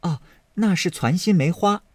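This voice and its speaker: A man's voice: high voice, moderate pace, darkish tone, slightly rough, dry recording, pitched above the performer's own voice. A man voicing a playful sixteen-year-old maid girl in a lilting, coy voice, in a dry, close recording. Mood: sweet, coy.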